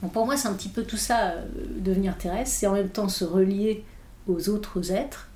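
A woman's voice: mid-range voice, moderate pace, mellow, a little hiss or hum. A woman whose first language is English, a philosopher speaking as a guest in an interview, thoughtful and full of hesitations and restarts. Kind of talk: reflective interview talk. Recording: roomy echo.

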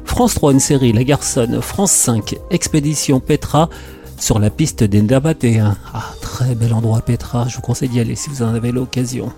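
A man's voice: mid-range voice, normal speed, mellow, faint music behind. A man presenting a radio media column, reading a script with opinionated, informal asides.

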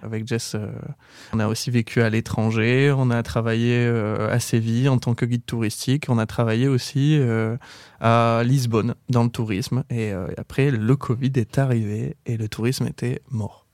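A man's voice: mid-range voice, moderate pace, no room sound, studio quality. A man in his twenties from southern France with a southern French accent, a podcast guest chatting casually and laughing often. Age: 20s. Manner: laughs often, relaxed and joking. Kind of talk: casual interview chat.

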